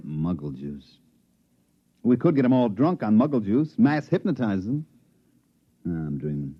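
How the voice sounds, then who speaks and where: A man's voice: on the low side, sing-song, a little slow, pauses often, a little hiss or hum. An actor playing a wry, world-weary, slick huckster who narrates his own tale in a 1950s radio drama. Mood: wry resignation. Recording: dull vintage 1950s radio recording.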